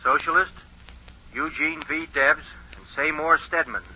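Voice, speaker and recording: A man playing an earnest, careful radio announcer of 1920, reading formally and deliberately in a 1940s radio re-enactment. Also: on the high side, fairly even intonation, sharp tone, slight background noise. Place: radio studio. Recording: dull, lo-fi 1940s radio recording.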